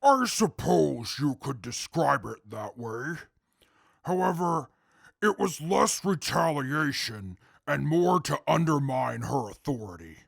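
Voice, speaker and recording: An actor playing a calm, articulate male super mutant who testifies in court in a formal, measured way with a gravelly voice. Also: on the high side, no room sound, studio quality, heavily acted. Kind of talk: audio drama acting.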